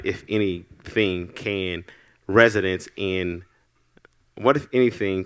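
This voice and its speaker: A man moderating a forum, putting questions to panelists in a measured, halting way as he picks his words. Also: deep voice, a bit bright, clear, resonant tone, dry recording, studio quality.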